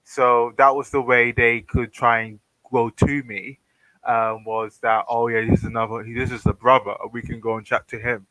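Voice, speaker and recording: A man's voice: medium pitch, flat delivery, normal speed, pushed and bright, no echo, rough recording. A British man on a voice call, telling a story hesitantly, with many ums and restarts. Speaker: guest on a Discord hangout. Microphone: computer mic on a voice call, no webcam.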